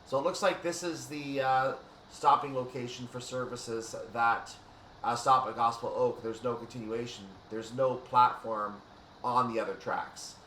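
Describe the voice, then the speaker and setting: A man's voice: a little slow, recorded from a distance. A man giving unscripted commentary as he plays a train simulator, thinking aloud and explaining each step. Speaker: gaming video host. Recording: roomy, off-mic, steady hum and rumble.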